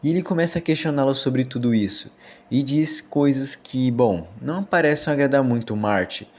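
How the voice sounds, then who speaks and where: A man's voice: medium pitch, normal speed, a little mellow. A man with a Brazilian accent narrating a storytelling commentary on a horror series. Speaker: video essay narrator. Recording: music under the voice. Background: background music.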